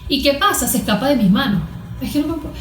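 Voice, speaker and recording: A Venezuelan woman with a fairly high voice gives explanatory interview answers. She teaches as she talks, posing questions and then answering them. The room adds a clear echo around her voice. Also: animated, quick pace, faint music behind. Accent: Venezuelan Spanish. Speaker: guest therapist being interviewed. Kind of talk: explanatory interview talk with everyday examples. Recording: roomy, echoing room sound.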